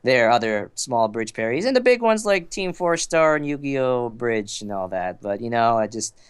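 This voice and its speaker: A young man chatting casually as a podcast guest, excitable and sweary, poking fun at himself with theatrical bursts. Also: mid-range voice, normal speed, clear, resonant tone. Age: young adult. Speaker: podcast guest, amateur voice actor and fandubber. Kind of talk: casual chat.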